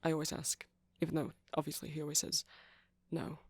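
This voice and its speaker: A woman's voice: low voice, monotone, a little fast, slightly rough, no echo. A woman playing an awkward, flustered male vampire who runs a music shop, stammering and rambling in an intimate ASMR roleplay. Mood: friendly, rambling. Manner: hesitant, stammering, rambling, apologetic.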